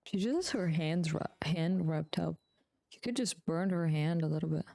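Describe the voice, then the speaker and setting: A woman's voice: sits low, moderate pace, gravelly, dark tone. A woman livestreaming a game, giving casual off-the-cuff commentary as she plays. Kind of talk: casual live gameplay commentary.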